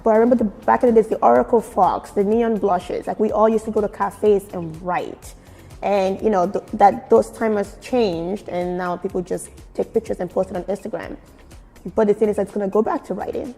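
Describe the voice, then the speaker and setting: A woman from Nigeria with a Nigerian accent mixed with British and American, giving expressive, emphatic answers in a casual interview. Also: medium pitch, normal speed, gravelly. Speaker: fashion blogger being interviewed. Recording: very echoey room with music. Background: music.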